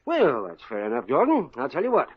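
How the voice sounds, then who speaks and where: An actor playing a grumpy, sarcastic middle-aged company boss in a 1950s radio comedy, on a muffled old broadcast. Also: male voice, on the high side, huge pitch swings, fairly quick, slightly rough, exaggerated voice. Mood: wheeling-dealing. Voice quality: sputtering, sarcastic. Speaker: company owner.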